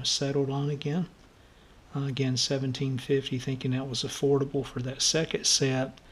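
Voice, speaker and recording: A man hosting a radio restoration channel, talking casually to viewers in a slightly scratchy, soft voice and stopping often on uh and um as he tells stories. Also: mid-range voice. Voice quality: halting, many uh and um fillers. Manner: friendly, storytelling. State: scratchy, somewhat soft voice from allergies. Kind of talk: casual show-and-tell talk to viewers.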